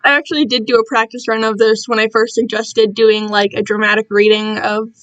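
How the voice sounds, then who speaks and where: A young woman chatting casually on a livestream in a rambling, animated way, often interrupting herself. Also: fairly high pitch, fairly quick, muffled recording. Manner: animated, self-interrupting, quotes herself and others dramatically. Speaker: livestream host and amateur fan fiction reader.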